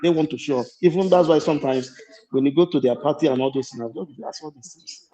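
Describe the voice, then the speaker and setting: A man's voice: fairly high pitch, quick pace, dark tone. A man with an Igbo Nigerian accent, a guest on a live panel, chatting and telling stories from his own life. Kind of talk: casual live panel chat. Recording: muffled lo-fi call audio.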